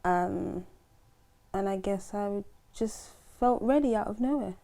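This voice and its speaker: A woman's voice: mid-range voice, slow, vocal fry, warm tone. A woman in her twenties, born in Trinidad and Tobago, chatting as a guest, joking loosely and laughing between words. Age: 20s. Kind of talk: casual chat.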